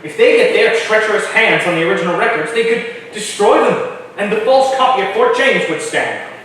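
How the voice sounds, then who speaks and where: Man acting high-voiced, principled colonial townsman. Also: lively intonation, normal speed, a bit bright, a little hiss or hum. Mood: indignant. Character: principled, stubborn colonial man, moderator and record keeper. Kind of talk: stage drama reading. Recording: very echoey hall, far from mic.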